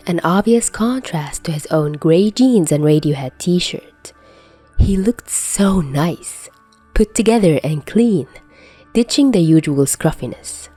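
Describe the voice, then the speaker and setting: A woman reading fan fiction aloud as an expressive audiobook-style narrator. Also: lowish voice. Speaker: podfic narrator.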